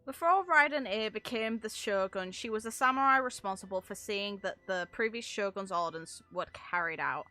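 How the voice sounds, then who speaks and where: A woman game streamer giving casual, animated, unscripted reactions in informal, sweary language. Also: mid-range voice, moderate pace, few pauses, faint music behind.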